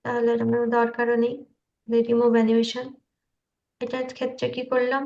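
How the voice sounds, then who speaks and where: A woman's voice: on the high side, completely flat delivery, moderate pace, a little mellow. A woman giving an informal screen-share tutorial, hesitant and checking that her listeners follow. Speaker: tutor demonstrating video animation software. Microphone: online meeting call. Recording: dull lo-fi online call audio.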